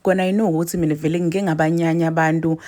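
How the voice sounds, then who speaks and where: Mellow, dark-voiced South African woman host. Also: sits low, level tone, racing. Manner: chatty, switches languages mid-sentence. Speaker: online commentary host. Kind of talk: casual commentary.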